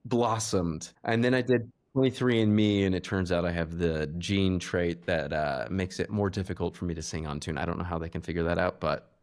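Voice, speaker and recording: A man in his thirties, a podcast guest, chatting in a relaxed, halting way with many fillers. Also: sits low. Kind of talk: casual chat.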